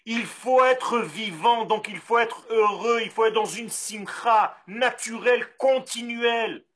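A man from Israel with an Israeli accent, a Hebrew native speaker giving a Torah lesson in French, animated and full of rhetorical questions. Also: very high voice, a little slow. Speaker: rabbi.

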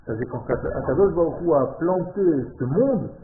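Guy preaching to a class in french, gets fired up then drops quiet, sounds like a phone in an echoey room. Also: on the high side, expressive, moderate pace, narrow, tinny audio. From Israel. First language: Hebrew. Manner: impassioned, swings from quiet to loud exclamations. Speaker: religious teacher. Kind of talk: Torah lesson to a live group.

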